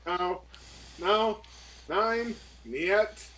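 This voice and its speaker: A man's voice: high-pitched, drawn-out words, a bit bright, low-quality recording. A man talking casually as a player in a tabletop role-playing game.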